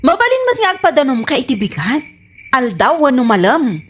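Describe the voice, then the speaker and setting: An actress with an Ilocano accent playing a nagging, needy, affectionate village wife in a radio comedy drama. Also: female voice, high voice, huge pitch swings, fairly quick, fairly bright tone, slightly rough, exaggerated voice.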